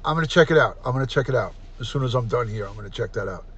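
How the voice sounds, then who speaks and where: A middle-aged man hosting a casual livestream, chatty and repetitive, often clearing his throat. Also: medium pitch, expressive, very fast, fairly bright tone, muffled recording, a little hiss or hum. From New York. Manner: chatty, repeats himself, frequent throat clearing. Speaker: livestream host, retired detective. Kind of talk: casual livestream chat, reading comments aloud.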